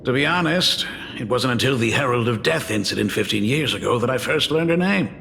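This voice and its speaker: A gentle, regretful dean. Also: male voice, medium pitch, lively intonation, fairly quick, creaky, slight background noise. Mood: measured. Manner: polite, hesitant, apologetic. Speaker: school dean. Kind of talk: video game voice acting. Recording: reverberant game audio with music.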